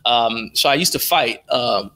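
A man's voice: medium pitch, lively intonation, unhurried, sharp tone. A middle-aged American man telling stories casually and colloquially as an interview guest on a video call headset. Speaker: interview guest, live stream producer. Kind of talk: casual conversational storytelling. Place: indoor room at home on a video call.

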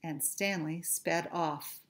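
Slow-paced middle-aged woman reading a storybook. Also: low-pitched. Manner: expressive, deliberate phrasing for young children. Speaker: teacher reading aloud to first graders. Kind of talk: children's storybook read-aloud. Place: small furnished room at home.

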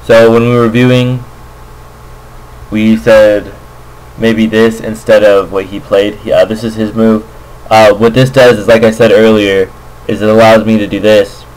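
Man, lowish voice, unscripted game commentary, casual, hesitant, thinking aloud. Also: little pitch movement, a little slow, poor audio. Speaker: amateur Go player, commentator. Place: indoors at a computer, screen recording voice-over. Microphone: makeshift computer microphone.

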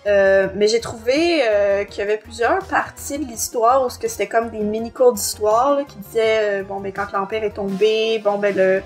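A woman's voice: medium pitch, moderate pace, hardly any pauses, faint music behind. A woman with a Quebec French accent co-hosting a podcast, reviewing a book in a somewhat hesitant, self-correcting way. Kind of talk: book review, plot summary and discussion.

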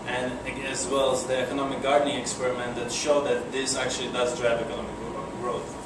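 A young man with a Polish accent giving a casual presentation at a breakfast meeting, hesitant, with many fillers and restarts. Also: medium pitch. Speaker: guest speaker. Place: conference room, speaking to a seated audience. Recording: echoey room, far from the mic, air conditioning hum.

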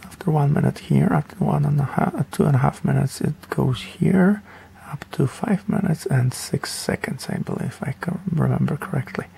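A man with a heavily gravelly, mellow voice talks through a game tutorial. He rambles in an offhand, hesitant manner, with faint noise behind him. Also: a little high, fairly even intonation, normal speed, hardly any pauses. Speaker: gaming video creator. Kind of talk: casual game tutorial commentary.

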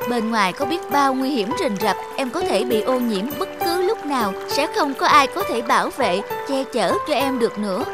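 A woman voicing a gentle, caring elder-sister lake spirit in a children's cartoon fable, soothing and reasoning. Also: high voice, very animated, moderate pace, hardly any pauses, over loud music.

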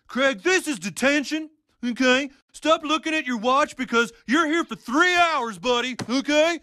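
An actor voicing a fussy middle-aged school counselor in a cartoon game who keeps tacking on "okay". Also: male voice, very high voice, unhurried, pushed and bright, no room sound, clean studio sound, exaggerated voice. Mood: exasperated. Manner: repeats okay, nasal and fussy.

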